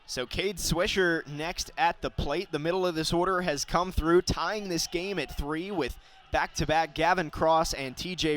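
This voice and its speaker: A young man with a fairly high voice does live baseball play-by-play. He describes the action in detail and lifts his delivery for big plays. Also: a bit bright. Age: young adult. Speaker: play-by-play announcer.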